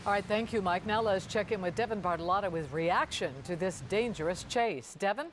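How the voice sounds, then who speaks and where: A woman's voice: mid-range voice, fairly quick, a little hiss or hum. A woman presenting a television newscast as anchor, in broadcast style. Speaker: TV news anchor.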